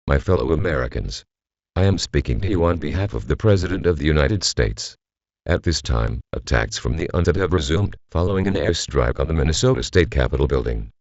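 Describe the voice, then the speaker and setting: A man's voice: a bass voice, expressive, fairly quick, darkish tone, no echo, heavily acted. A man playing a solemn vigilante who addresses the nation in a grave, formal broadcast speech. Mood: grave, resolute. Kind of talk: scripted emergency broadcast speech. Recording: dull, lo-fi broadcast sound.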